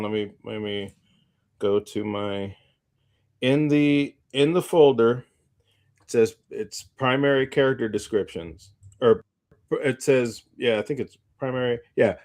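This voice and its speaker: A man co-hosting a casual unscripted podcast, talking in a halting, rambling way and often repeating his phrases. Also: medium pitch, darkish tone. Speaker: podcast co-host.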